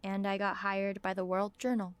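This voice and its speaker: A woman playing an earnest, apologetic journalist with a halting manner in a naturalistic audio drama. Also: medium pitch, little pitch movement, clean tone, no echo, close to an everyday voice. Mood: tender.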